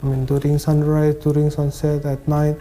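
Male interviewee, photographer, speaks in a flat, dark tone, halting non-native English with faint music behind. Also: fairly high pitch. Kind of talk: interview answers.

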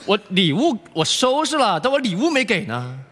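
An awkward, stammering college-bound son, high-voiced with wildly swinging pitch. It sounds like stage comedy in an echoey, slightly noisy hall. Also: male voice, huge pitch swings, normal speed, a bit bright, heavily acted. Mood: flustered. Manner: hesitant, stammering. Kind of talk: stage comedy sketch acting.

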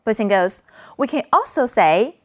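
A woman's voice: fairly high pitch, big pitch swings, a little slow. A woman teaching children an English lesson, modeling words for the class to repeat.

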